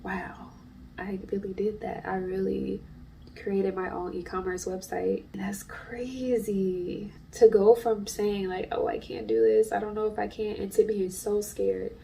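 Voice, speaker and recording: A woman's voice: medium pitch, measured pace, vocal fry, dark tone, distant mic, a little hiss or hum, low-quality recording. A congested woman, an artist and small business owner, talking in a chatty, earnest way to camera in a casual vlog. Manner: chatty and earnest, many fillers. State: congested from allergies.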